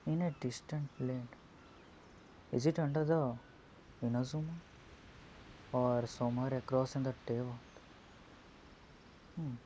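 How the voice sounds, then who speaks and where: A man's voice: medium pitch, steady tone, a little slow, long pauses, dark tone, slight background noise. A man giving casual, hesitant gameplay commentary as a streamer, his voice murky through an earphone mic. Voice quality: murky, muffled. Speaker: gaming streamer. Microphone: earphone mic on a phone.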